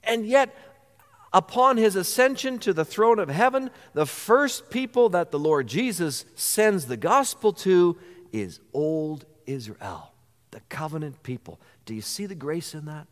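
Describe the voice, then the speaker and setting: A man's voice: a little high, lively intonation, measured pace. A man preaching a sermon as pastor, earnest, posing rhetorical questions to the congregation. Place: church sanctuary. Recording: church hall reverb.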